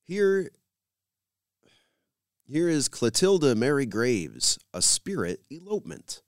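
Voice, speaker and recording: A man's voice: medium pitch, big pitch swings, slow pace, no room sound, clean studio sound. A man hosting a radio program, reading scripted introductions and credits as a presenter.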